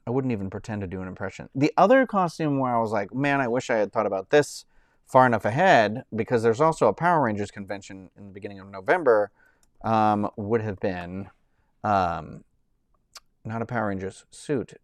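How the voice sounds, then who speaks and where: A man's voice: dry recording, clean studio sound. Rambling man chatting on a live stream. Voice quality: says he has a high-pitched voice. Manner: rambling, many fillers and restarts. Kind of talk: casual live-stream chat.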